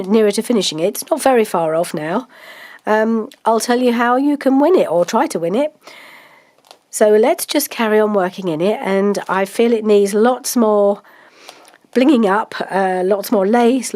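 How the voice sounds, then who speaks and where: A woman's voice: mid-range voice, warm tone. A woman, chatting casually about her crafting project, congested from a cold and rambling with many ums. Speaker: craft vlogger.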